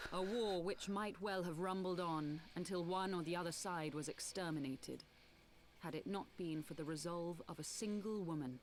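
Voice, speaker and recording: An actress playing a solemn noble rebel woman in a fantasy game, telling tragic history in formal, archaic language with measured delivery. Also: lowish voice, slight background noise, natural voice. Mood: solemn storytelling. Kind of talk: theatrical fantasy game acting.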